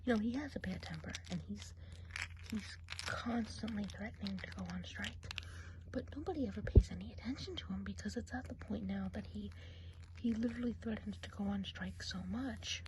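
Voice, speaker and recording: A woman voicing a gossipy woodland witch in a breathy, confiding whisper for an ASMR roleplay. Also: medium pitch, a little fast, very noisy, lightly acted. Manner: gossipy, confiding, soft-spoken. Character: gossipy woodland witch giving a whimsical eye exam.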